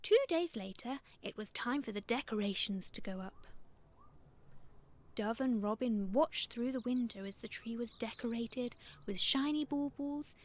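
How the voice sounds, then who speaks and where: Higher-voiced woman reading a children's story. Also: unhurried. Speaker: storyteller and author. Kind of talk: scripted children's story narration.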